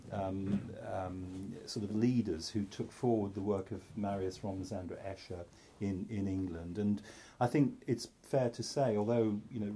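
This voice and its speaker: Low, dark-toned man from North Wales. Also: husky. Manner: candid and self-deprecating, with frequent restarts and asides. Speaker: psychiatrist. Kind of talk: talk to an audience.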